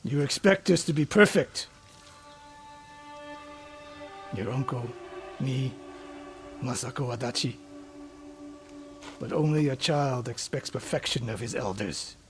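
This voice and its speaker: An actor playing a proud, regretful older samurai master in a video game, speaking gravely in clipped, defensive phrases. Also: male voice, medium pitch, lively intonation, unhurried, pauses often. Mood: defiant. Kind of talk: dramatic video game acting. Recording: music behind the voice. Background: music.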